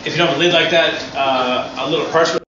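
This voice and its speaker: A middle-aged man, a chef, explaining a dish in a relaxed, enthusiastic way with frequent restarts, on an outdoor restaurant patio. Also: on the high side, fast talker. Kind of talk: cooking demonstration. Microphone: far camera. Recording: echoey, distant, dull, noisy outdoor audio.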